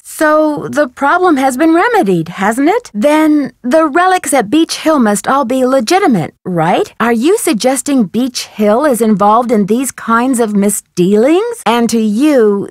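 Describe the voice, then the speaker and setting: A woman's voice: fairly high pitch, dry recording, studio quality, natural voice. An actress playing a polite, inquisitive young female detective in a video game, courteous and articulate as she probes. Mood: earnest questioning.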